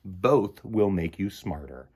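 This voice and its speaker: A man's voice: sits low, animated, slow pace, slightly rough. A man hosting a children's storytime, reading aloud and chatting in a theatrical, emphatic way. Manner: theatrical, emphatic, swings between loud bursts and hushed asides. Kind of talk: animated read-aloud and chat to children.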